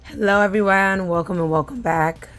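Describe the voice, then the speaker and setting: A woman, talking casually to her viewers in a hoarse, scratchy voice, with plenty of fillers. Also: mid-range voice, normal speed, over music. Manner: chatty, with frequent fillers. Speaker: vlogger. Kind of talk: casual chat.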